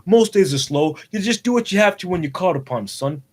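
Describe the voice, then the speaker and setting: Kindly cop dad, played by a man, patient, dutiful, conversational, with lively intonation. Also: high-pitched, brisk, slightly rough. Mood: caring. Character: kindly policeman father of a young boy, patient, dutiful.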